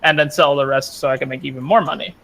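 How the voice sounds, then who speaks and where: A man's voice: fairly high pitch, fairly even intonation, quick pace, vocal fry, fairly bright tone. A man chatting casually as he plays an online game with friends. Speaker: co-player. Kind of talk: casual gaming chat.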